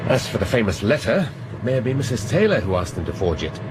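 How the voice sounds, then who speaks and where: A tired, sharp-minded detective inspector in a radio play, speaking in a very low, clipped and cultured voice. A faint hiss sits under the recording. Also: male voice, sing-song, fast talker. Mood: deducing. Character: weary, cerebral older male police inspector. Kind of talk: radio drama acting.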